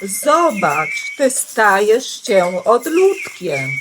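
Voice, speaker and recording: A woman's voice: medium pitch, sing-song, extremely slow, background noise. A woman voicing a worried, reproachful mother cow, pleading plaintively in storybook rhyme. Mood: plaintive worry.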